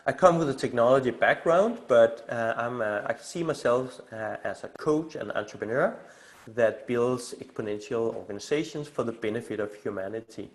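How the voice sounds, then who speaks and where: A man's voice: mid-range voice, moderate pace. Danish man presenting on a call. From Denmark. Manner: relaxed and hesitant, many filler sounds, laughs lightly. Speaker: community initiator presenting on a call. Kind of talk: informal presentation on a video call. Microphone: video call microphone.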